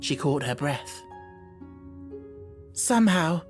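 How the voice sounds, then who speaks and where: A woman's voice: sits low, fairly even intonation, normal speed, faint music behind. A woman reading a children's novel as scripted audiobook narration.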